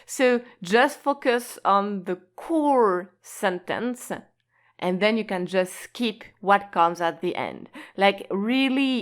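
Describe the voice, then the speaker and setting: A woman teaching an online language lesson in a slow, patient, explanatory way, stretching key words and laughing lightly now and then. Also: medium pitch.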